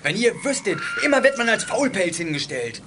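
An actor playing a surly, threatening teenage troublemaker in a children's audio drama, aggressive and defiant. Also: male voice, high-pitched, sing-song, a little fast, background noise. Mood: angry, defiant.

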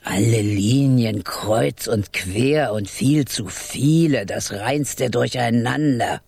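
An actor in a children's radio play voicing a grumpy, doting, three-hundred-year-old toad fortune-teller with a husky, muttering delivery. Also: mid-range voice, slow pace, darkish tone, no room sound, full character voice. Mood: pondering, puzzled.

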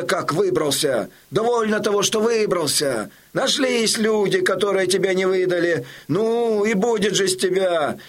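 An actor playing a loyal Cossack comrade who gruffly and insistently tends a wounded friend in an audio drama. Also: male voice, high voice. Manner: scolding, insistent.

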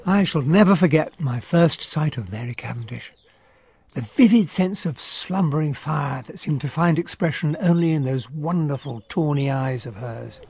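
An actor playing a reflective English gentleman narrating his memories in a radio drama, measured and literary, with an upper-class accent. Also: fairly high pitch, gravelly, slightly rough, heavily acted. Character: Hastings as reflective older narrator, English gentleman recalling events. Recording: dull, muffled radio drama recording.

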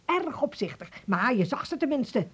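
A woman's voice: high voice, big pitch swings, normal speed, fairly bright tone, husky, exaggerated voice. An actress playing a chatty, fussy, playful woman in a Dutch radio play, chirpy and gushing in manner. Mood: cheerful. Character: chatty, playful, fussy female family guest.

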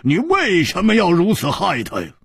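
A man voicing a blunt, hot-tempered fighter in a wuxia audiobook, gruff and emphatic. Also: mid-range voice, very animated, takes it slowly, a little mellow, no room sound, studio quality, exaggerated voice. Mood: confrontational.

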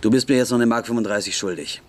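A man's voice: medium pitch, fast, a little hiss or hum, close to an everyday voice. An actor playing a bossy, taunting teenage boy who extorts younger kids, in a radio play. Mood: menacing, smug. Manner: taunting, bossy, mock-generous. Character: bullying teenage boy extortionist, sneering and commanding.

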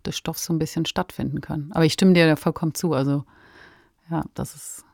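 A woman's voice: low-pitched, steady tone, quick pace, gravelly, a little mellow, dry recording, clean studio sound. A woman hosting a podcast in an articulate, informal presenting manner. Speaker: podcast host. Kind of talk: scripted credits and conversational discussion.

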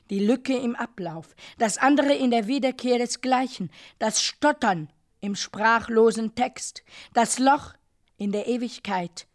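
Radio drama: actress plays grave female narrator, mid-range, deliberate and drawn-out phrasing. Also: medium pitch, natural voice. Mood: solemn, probing. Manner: deliberate, measured, with weighted pauses. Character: solemn female narrator pondering a violent, dreamlike image. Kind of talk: slow literary monologue in a radio drama.

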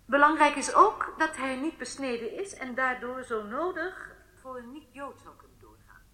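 An actress playing a businesslike intelligence aide, reading facts deliberately in a radio drama, recorded in an echoey, reverberant space. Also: female voice, high voice, bright, forceful tone. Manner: deliberate, factual, clipped phrases. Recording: echoey room with long reverb.

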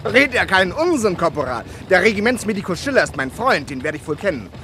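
An actor playing a lieutenant commanding a city gate guard in a radio play, with a brisk, commanding manner. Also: male voice, sits high, very animated, pushed and bright, a little hiss or hum, exaggerated voice.

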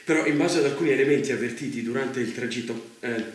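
An actor playing a wary, deferential guest in a dystopian stage drama, speaking haltingly and apologetically. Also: male voice, mid-range voice, lightly acted. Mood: guarded, reporting. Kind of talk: Italian theatre dialogue. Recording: very echoey theatre performance.

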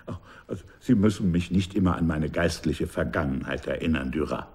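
An older man acting a shrewd, patient former pastor in a German radio drama, speaking in a measured, deliberate way. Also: very deep voice, lively intonation, darkish tone, a little hiss or hum. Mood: mildly reproachful. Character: ponderous, shrewd former pastor turned investigator.

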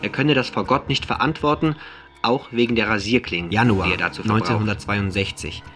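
A stern male official played by an actor reads formal documents in a radio play. His voice is on the low side, with music clearly audible behind it. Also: quick pace, a bit bright, natural voice. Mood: dry, official. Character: stern official male reader of press commentary and prison reports.

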